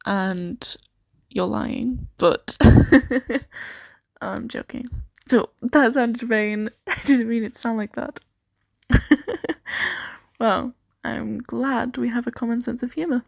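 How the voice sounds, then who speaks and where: A woman improvising an ASMR roleplay as a kind, shy, flustered boss of a secret sanctuary, speaking softly and hesitantly with giggles. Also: on the high side, slow, vocal fry, long pauses, no room sound, clean studio sound, full character voice. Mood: shy, flirtatious. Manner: hesitant, giggly, soft-spoken.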